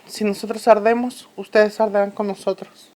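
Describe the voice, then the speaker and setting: A woman's voice: mid-range voice, little pitch movement, moderate pace, mellow, natural voice. A woman playing an earnest commentator from a fictional republic in a radio drama, speaking solemnly and emphatically in scripted interview style. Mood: solemn, urgent.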